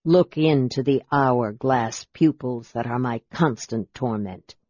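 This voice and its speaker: Man acting young male wizard, proud, intense, very slow and measured, slightly dull audio, audiobook fantasy. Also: mid-range voice, a little mellow, voice pushed down. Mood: solemn, intense. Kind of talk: audiobook character voice. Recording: roomy echo, slightly dull sound.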